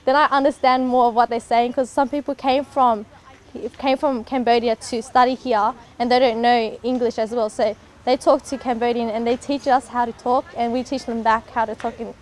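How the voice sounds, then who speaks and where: A woman's voice: a little high, fairly even intonation, quick pace. A young woman giving casual, rambling interview answers peppered with "like". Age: teens to young adult. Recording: noticeable background noise.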